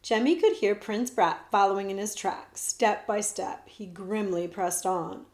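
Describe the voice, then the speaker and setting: A woman librarian reading a children's book aloud with expressive storytelling, dropping to whispers at times. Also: medium pitch, unhurried, roomy sound. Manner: expressive storytelling, shifts between whispers and loud voices.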